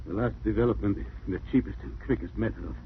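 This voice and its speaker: An actor playing a desperate, betrayed criminal accomplice in a 1940s radio drama. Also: male voice, on the low side, quick pace, close to an everyday voice. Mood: grim, failing. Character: desperate betrayed male accomplice in espionage, vengeful. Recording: scratchy, narrow-band 1940s radio recording.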